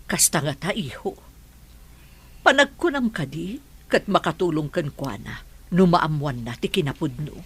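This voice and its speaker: A woman's voice: low-pitched, expressive, measured pace, a bit bright, breathy edge, full character voice. A woman with a Filipino accent playing a caring, worried mother in a radio drama. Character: caring, worried middle-aged mother of the household.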